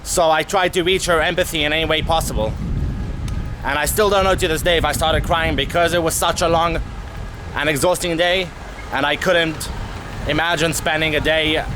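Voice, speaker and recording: A young man telling a travel story to camera as a vlogger, candid and animated, swearing casually, outdoors in a city street. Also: fairly high pitch, noisy background. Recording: music playing under the voice.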